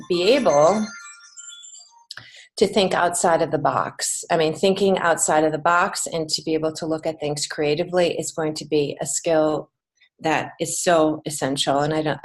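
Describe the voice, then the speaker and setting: A woman's voice: low voice, poor audio. A woman speaking as a podcast guest in a conversational interview, earnest, reflective and emphatic. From United States. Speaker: podcast guest, children's author and creative writing teacher.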